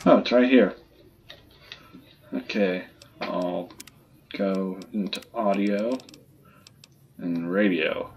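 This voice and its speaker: A man's voice: sits low, very slow, long pauses, a little mellow, dull audio, a little hiss or hum. A man giving casual gameplay commentary, muttering to himself as he plays. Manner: muttering to self. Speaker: gamer doing a playthrough.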